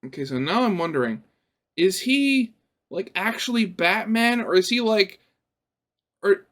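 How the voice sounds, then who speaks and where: A man's voice: sits high, expressive, a little slow, fairly bright tone. A young man hosting a reaction video, chatting casually and rambling with lots of fillers and restarts.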